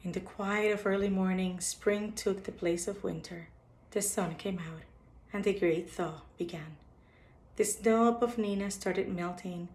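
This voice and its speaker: Echoey woman reading a bedtime story. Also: mid-range voice. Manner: expressive storytelling for young children. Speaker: storyteller reading a picture book. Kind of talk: read-aloud bedtime story with short asides on the pictures. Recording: echoey, roomy sound.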